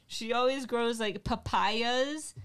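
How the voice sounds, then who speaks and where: A woman's voice: fairly high pitch, slow pace, a bit bright, smooth. A young woman co-hosting a home livestream, joking playfully and giggling, close to a studio mic. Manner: playful, giggly, uses "like" a lot. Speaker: stream co-host. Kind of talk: casual chat on a livestream. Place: home studio room, indoors. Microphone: studio mic on boom arm, close.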